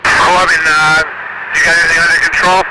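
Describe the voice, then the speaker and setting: A male police officer speaking in clipped, halting radio procedure over a police radio. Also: fairly high pitch, flat delivery. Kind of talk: terse police radio traffic. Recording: noisy police radio scanner capture.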